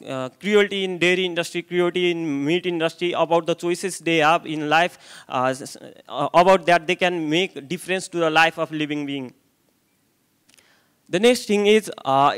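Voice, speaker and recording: A young man with a Nepali accent speaks into a handheld microphone at a conference. His voice sits fairly high and sounds clean and smooth. He hesitates often, filling pauses and restarting phrases. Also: a bit bright. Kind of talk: conference presentation. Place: hotel conference room, indoors.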